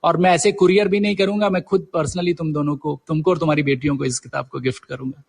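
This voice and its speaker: A man's voice: fairly high pitch, steady tone, quick pace, vocal fry, breathy edge. A middle-aged man answering as a guest writer in a conversational interview, hesitantly searching for his words.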